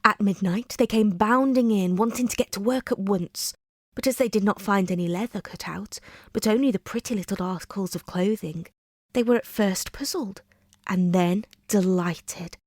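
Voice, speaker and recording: A woman narrating a bedtime story in a measured, expressive storybook style. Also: mid-range voice, no room sound, studio quality. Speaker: storyteller, reader.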